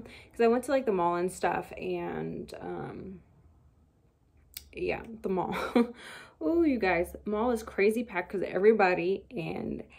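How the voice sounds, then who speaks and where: A woman's voice: mid-range voice, a little mellow. A young woman in her twenties filming a casual shopping haul in her cluttered room at home, chatting loosely with lots of fillers. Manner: chatty and rambling, many fillers. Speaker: vlogger. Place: bedroom beauty room at home, indoors. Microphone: camera on desk.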